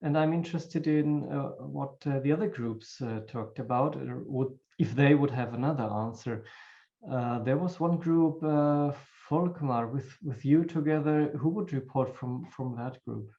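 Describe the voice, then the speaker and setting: A man's voice: medium pitch, unhurried. A man moderates an online seminar, thanking speakers and calling on the next group. His audio is dull and lo-fi. He hesitates, with fillers between his phrases.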